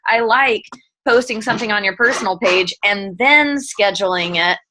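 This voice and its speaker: A woman's voice: mid-range voice, normal speed. A woman hosting a team call, giving a casual, encouraging recap in an informal way. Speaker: host, team leader. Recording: noisy call audio with clicks.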